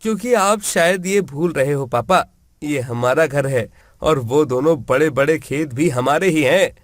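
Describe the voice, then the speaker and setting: A man's voice: on the high side, a little slow, no echo, clean studio sound, lightly acted. A man voicing a spoiled, greedy grown son, brash and dismissive, in a storybook tale. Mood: contemptuous.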